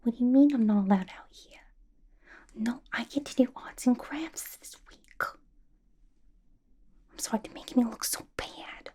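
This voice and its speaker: A woman's voice: on the high side, measured pace, pauses often, dark tone, natural voice. A woman playing a manic, chatty, mischievous young female villain in an asylum, in a playful, slangy ASMR roleplay whisper. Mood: petulant, wheedling. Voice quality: breathy whisper. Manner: playful, slangy, conspiratorial gossiping.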